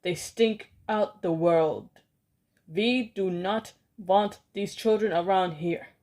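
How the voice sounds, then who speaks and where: A woman's voice: lowish voice, measured pace, a little mellow, natural voice. A woman reading a storybook aloud and voicing a furious, domineering female witch leader who shrieks orders in stilted, oddly worded speech. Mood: ranting.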